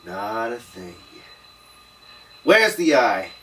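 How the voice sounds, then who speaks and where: A man reading a gruff, sceptical hardboiled detective in an amateur drama table read. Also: lowish voice, sing-song, drawn-out words, fairly bright tone, exaggerated voice. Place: room, read aloud at a table. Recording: distant room mic, faint noise.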